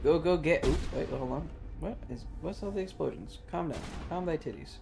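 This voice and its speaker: A man's voice: on the high side, expressive, moderate pace, gravelly, noisy background. A man livestreaming a video game with casual, slangy, swearing chatter and jokey commentary. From Southern United States.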